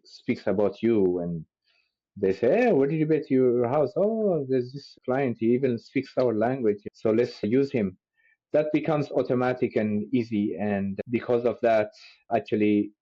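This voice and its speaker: A man who speaks Amharic as his first language, answering interview questions in a measured, earnest way. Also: lowish voice, dark tone. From Ethiopian-Persian family, raised in the Middle East. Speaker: real estate agent, podcast guest. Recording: dull lo-fi audio.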